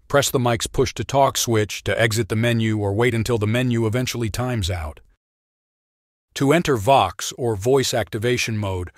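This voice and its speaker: A man delivers scripted how-to narration at a medium pitch. His manner is clear and instructional, with plain step-by-step explanation. The sound is close and dry, free of room echo. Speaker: product demonstrator and narrator.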